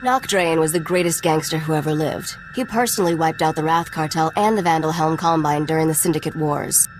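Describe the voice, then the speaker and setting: A woman's voice: low voice, brisk, faint music behind, natural voice, poor audio. A woman playing a shrewd, self-assured young smuggler in a video game, cool and wry. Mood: persuasive.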